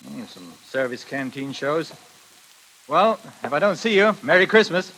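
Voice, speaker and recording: An actor playing a weary, irritable stage star in clipped, theatrical 1940s radio style. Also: male voice, on the high side, expressive, a little slow, bright, forceful tone, exaggerated voice. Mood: subdued. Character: weary, highly strung male stage star, irritable and tormented. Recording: noisy vintage radio recording, crackle and sizzle.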